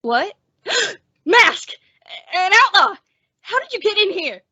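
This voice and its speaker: A woman's voice: extremely high pitch, big pitch swings, takes it slowly, pushed and bright, dry recording, dull audio, full character voice. A woman playing a timid, bookish young man made sheriff, with a hesitant, stammering, anxious manner, in an old-time western radio drama. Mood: startled. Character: timid, bookish young male sheriff, nervous insect collector.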